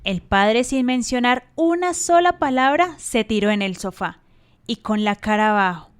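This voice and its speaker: A woman's voice: on the high side, animated, normal speed, smooth. A woman reading scripted narration as the storyteller of a radio drama.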